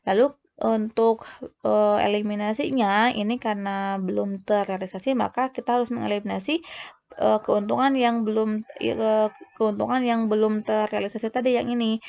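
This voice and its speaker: A woman tutor walks through an explanation in lecture style. Her medium-pitched voice has a marked creak. She speaks haltingly, often stopping to correct herself and restart a phrase. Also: a little mellow. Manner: halting, self-correcting delivery. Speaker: accounting tutor.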